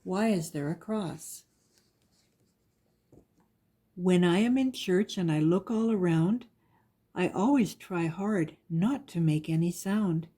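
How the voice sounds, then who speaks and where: A woman reading a rhyming children's picture book aloud with a measured, sing-song delivery. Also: on the low side, warm tone. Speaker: guest story reader.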